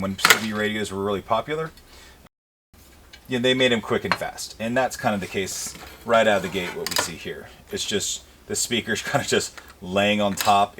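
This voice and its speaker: A man hosting a hands-on teardown video, talking to the camera in a casual, plain-spoken, explanatory way. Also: lowish voice. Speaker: host of an e-waste teardown video. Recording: clear background noise with handling clicks.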